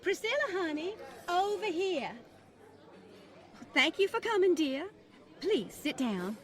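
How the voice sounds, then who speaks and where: A woman acts out a genteel employer in a radio-style drama. Her voice is high, and she speaks with sugary, patronizing politeness. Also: very animated, takes it slowly, some chatter behind, full character voice. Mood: gracious, awkward. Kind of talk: old-time radio style drama dialogue.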